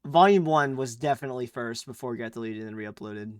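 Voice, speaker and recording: A man's voice: mid-range voice, a little fast, dry recording. A young man talks loosely on a podcast, stumbling over fillers and restarting his sentences. His voice sits in a middle range. The sound is close-miked and dry. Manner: laid-back, rambling with fillers and restarts. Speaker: podcast co-host. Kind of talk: casual chat.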